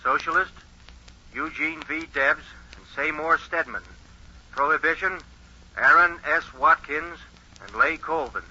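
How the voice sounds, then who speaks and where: An actor playing an earnest 1920 radio announcer, formal and carefully enunciated, on an old-time radio recording. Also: male voice, on the high side, a little slow, pauses often, sharp tone, muffled recording, exaggerated voice. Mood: earnest, measured.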